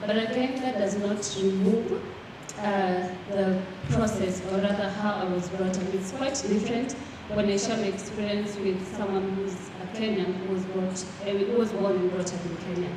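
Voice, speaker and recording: A woman's voice: medium pitch, steady tone, normal speed. Young woman on a panel, echoey hall. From Rwanda. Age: young adult. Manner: earnest, fluent with frequent fillers and restarts. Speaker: panelist, student representative. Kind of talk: panel discussion answer. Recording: echoey hall from far off with background noise.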